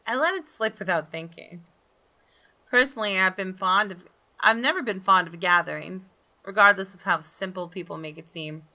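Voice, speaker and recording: A woman's voice: medium pitch, normal speed, pushed and bright, low-quality recording. A woman reading a visual novel aloud as dramatic first-person narration, now and then stumbling and rereading a phrase.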